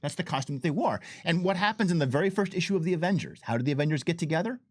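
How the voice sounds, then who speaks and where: A man's voice: on the high side, expressive, very fast, no room sound. Emphatic man chatting, close dry sound. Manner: emphatic, repeats phrases for emphasis. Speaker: game developer giving commentary. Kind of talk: casual chat, explaining with enthusiasm.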